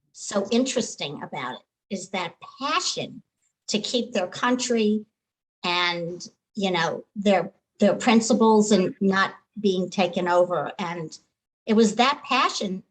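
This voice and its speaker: A woman's voice: mid-range voice, poor audio. A woman joining a film discussion by video call, speaking earnestly and emphatically. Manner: earnest, emphatic, uses "you know" a lot. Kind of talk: casual group discussion.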